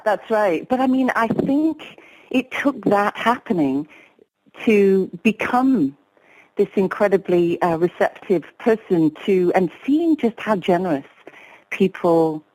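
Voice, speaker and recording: A woman's voice: lowish voice, low-quality recording. A middle-aged woman speaks as a guest on an interview show. She talks in a reflective, hesitant way, stopping often to search for words. Her tone stays open. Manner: soft, hesitant, reflective, with pauses and fillers.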